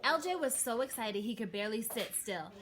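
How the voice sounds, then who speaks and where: A woman reading a children's story aloud in an expressive, animated storytelling manner. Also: on the high side, a little fast. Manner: animated, raises voice for dialogue.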